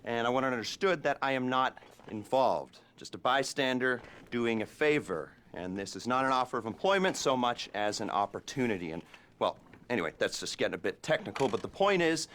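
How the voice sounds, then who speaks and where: A man's voice: medium pitch, expressive, normal speed, fairly bright tone, a little hiss or hum, natural voice. An actor voicing a nervous, rambling middle-aged criminal planner in a video game, wry and hedging as he briefs a crew. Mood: evasive, cagey.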